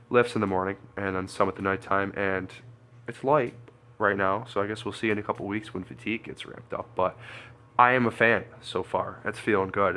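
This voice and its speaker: Stuffy-nosed male coach, low voice with a sharp bright edge, loose and chatty. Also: smooth. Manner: chatty, loose, thinks out loud with fillers. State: congested, stuffy nose. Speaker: strength coach and lifter. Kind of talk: casual training vlog.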